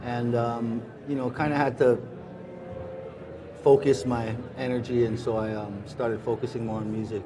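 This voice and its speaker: A middle-aged man from Hawaii, a musician, answering interview questions in a relaxed, rambling way with many pauses and restarts. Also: medium pitch. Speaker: musician guest. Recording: busy crowd noise behind.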